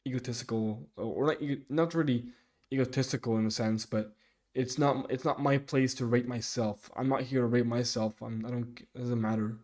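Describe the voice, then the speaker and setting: A young man talks with his live viewers, picking up their comments one by one. His voice sits at an ordinary pitch but sounds mellow and dark. He stops and restarts a lot, filling the gaps with "um" and "like.". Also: fairly even intonation. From Sweden. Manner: halting, many restarts and fillers. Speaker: streamer. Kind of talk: casual live chat reading viewer comments.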